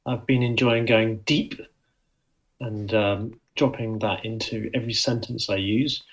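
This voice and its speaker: A man's voice: on the low side, steady tone, unhurried, low-quality recording. A man leaving a short, polite recorded question for a podcast. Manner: polite, brief. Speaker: caller. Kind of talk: recorded voice message.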